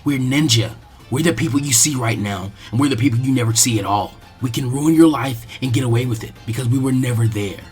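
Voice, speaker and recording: A man's voice: mid-range voice, brisk, a little mellow, soft background music, close to an everyday voice. A man voicing a brash, cocky ninja boy with a taunting, tough manner in a story reading. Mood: threatening.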